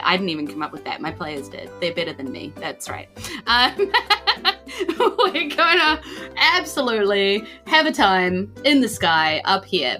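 A woman's voice: on the low side, bright, forceful tone. A woman with a New Zealand accent, narrating a tabletop game off the cuff as dungeon master.

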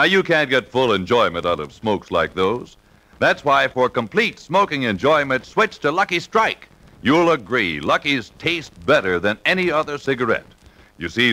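Bright, swooping American radio pitchman. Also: low-quality recording. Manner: emphatic, polished salesman delivery. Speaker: radio commercial announcer. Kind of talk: 1950s radio advertising pitch. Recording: vintage 1950s radio broadcast.

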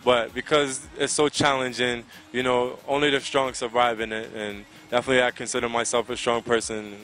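Bright-voiced American man in casual interview. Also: medium pitch, flat delivery, a little fast, low-quality recording. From Connecticut, United States. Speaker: soca singer being interviewed. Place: outdoors by a riverside. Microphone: handheld microphone held close to the mouth.

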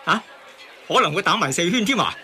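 An actor playing a blustering henpecked husband in a satirical radio drama, speaking Hong Kong Cantonese. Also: male voice, high-pitched, big pitch swings, brisk, sharp tone, slight background noise, full character voice. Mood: fawning. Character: blustering henpecked husband, fawning to his wife.

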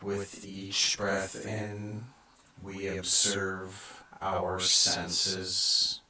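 A man narrating a scripted guided meditation in a measured, deliberate and solemn manner. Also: low voice, completely flat delivery, sharp tone. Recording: echoing, distant from the mic.

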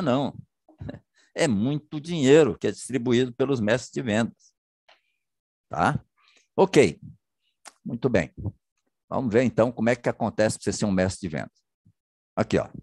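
A man presents slides over a video call, talking in a lively, swooping voice. He keeps asking rhetorical questions and checking in with his listeners. The sound is close and dry, with no room around it. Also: mid-range voice, dry recording. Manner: chatty, many checking tags and rhetorical questions. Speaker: business presenter. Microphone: computer mic on a video call.

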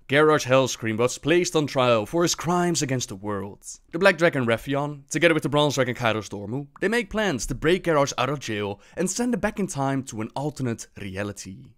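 A man hosting a lore video with scripted storytelling narration. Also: mid-range voice.

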